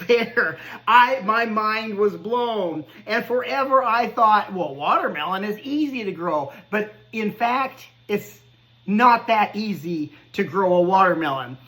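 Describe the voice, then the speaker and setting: A man's voice: sits high, sharp tone, rough recording. Earnest man in his fifties giving life advice. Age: 50s. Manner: earnest, conversational, laughs at himself. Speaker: podcast host. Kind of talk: motivational monologue.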